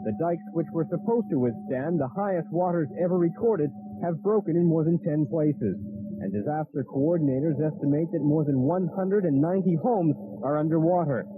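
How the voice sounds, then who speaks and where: A man with a slightly high voice reads a scripted news report. The sound is narrow and phone-like, with faint noise, like archival news audio. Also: normal speed.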